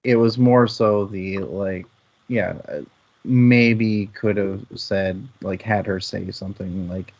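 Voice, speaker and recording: A man's voice: fairly low pitch, steady tone, slow, darkish tone. A man co-hosting a casual book discussion, talking in a hesitant, rambling way that often doubles back on itself. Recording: muffled, band-limited online audio.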